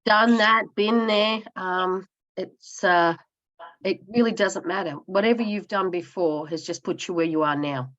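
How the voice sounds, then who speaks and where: A woman's voice: medium pitch, a bit bright. Fifties woman on muffled video call. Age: 50s. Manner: reflective, halting with fillers. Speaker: coaching group member. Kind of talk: casual self-introduction. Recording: dull lo-fi video call audio.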